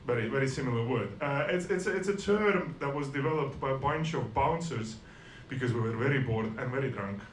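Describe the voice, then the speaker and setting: A man delivers stand-up in a dry, self-deprecating way, often stumbling and restarting his sentences. His voice sits at an ordinary middle pitch. The room is echoey, with a faint hiss under him. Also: brisk, few pauses. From Estonia. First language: Russian and Estonian. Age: 40s. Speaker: stand-up comedian. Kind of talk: stand-up comedy set.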